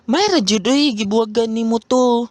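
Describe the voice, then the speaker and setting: Young woman voicing a blunt loyal friend, high indignant voice, dry close sound. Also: moderate pace, dry recording, close to an everyday voice.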